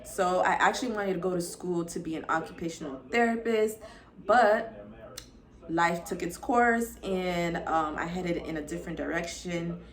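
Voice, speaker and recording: A young woman from Florida talks straight to her viewers in a chatty, upbeat way, calling them "y'all" and "girl." Her voice sits a little low for a woman. It is a casual self-introduction on her lifestyle vlog. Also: moderate pace. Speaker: lifestyle vlogger.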